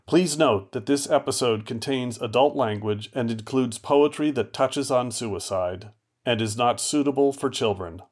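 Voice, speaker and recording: A man hosting a poetry podcast, reading a scripted introduction in a measured, announcer-like way. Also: medium pitch, darkish tone, no room sound, studio quality. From United States. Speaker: podcast host.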